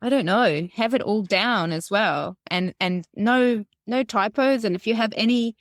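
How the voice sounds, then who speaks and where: A woman who is a non-native speaker of English, talking as a linguist guest in a relaxed chat, with fillers and laughter. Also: mid-range voice, a little slow, no room sound, clean studio sound. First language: not English. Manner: chatty, laughing, lots of fillers. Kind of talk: casual chat.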